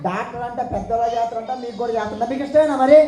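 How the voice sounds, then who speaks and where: High, quick-talking woman hosting, echoey room. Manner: exclaiming, questioning, repeats for the children. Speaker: puppet show host at children's Sunday school. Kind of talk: animated question-and-answer with puppet and children. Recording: echoey room, clearly noisy background.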